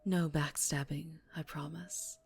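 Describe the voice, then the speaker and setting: A woman acts out a female crime boss for an ASMR roleplay, her voice low and very measured over background music. She is dangerous and charming, speaking intimately close, sometimes slipping toward a whisper. Her affection is condescending, with cold authority underneath. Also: steady tone. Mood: sympathetic, gentle. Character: dangerously charming, ruthless yet tender female mafia boss. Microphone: close-up microphone.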